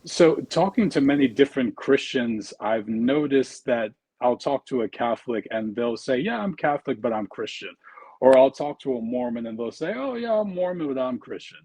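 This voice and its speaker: A man's voice: medium pitch, expressive, moderate pace, mellow, husky, no room sound. A young man from the United States, a debate guest who speaks politely and a bit hesitantly. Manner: polite, hesitant, uses fillers. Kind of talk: debate argument.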